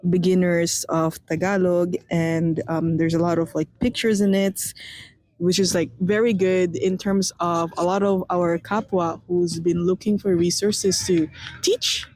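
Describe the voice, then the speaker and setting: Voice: mid-range voice, level tone, measured pace, darkish tone. A radio interviewer of Filipino heritage asking long, rambling questions full of fillers.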